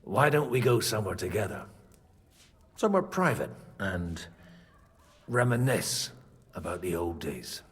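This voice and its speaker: A man's voice: low voice, very animated, a little slow, long pauses, husky, long reverb tail, exaggerated voice. An actor playing a cynical, flirtatious middle-aged medieval man with a dry, sardonic delivery in a game cutscene. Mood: courteous, flirtatious.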